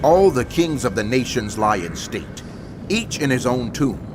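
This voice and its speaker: An actor playing a prophet narrator, solemn and declamatory, in dramatic scripture narration. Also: male voice, mid-range voice, big pitch swings, unhurried, background noise. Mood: solemn declaiming. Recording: music under the voice.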